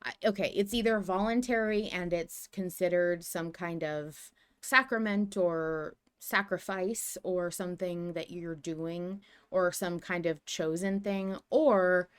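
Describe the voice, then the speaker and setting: A woman's voice: lowish voice, steady tone, a little slow, smooth. A woman hosting a podcast, talking through ideas in a casual, musing fan discussion. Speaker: podcast host.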